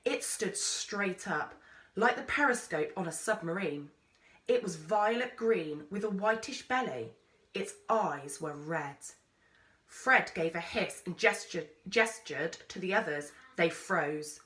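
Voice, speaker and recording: A woman narrates a children's story with measured drama. Her voice is bright and highly animated. She sounds set back from the microphone in an echoey room. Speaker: teacher reading aloud. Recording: roomy echo, distant microphone.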